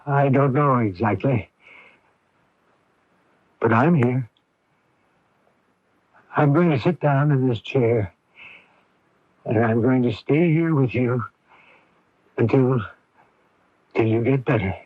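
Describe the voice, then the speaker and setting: Plain-spoken middle-aged dad, earnest and worried, slow delivery, slightly rough husky voice, 1980s radio play on lo-fi AM. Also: male voice, medium pitch, dry recording. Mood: reassuring. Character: devoted, anxious, simple-minded father of ailing daughter. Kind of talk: 1980s radio drama acting with first-person narration. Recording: dull lo-fi AM radio recording.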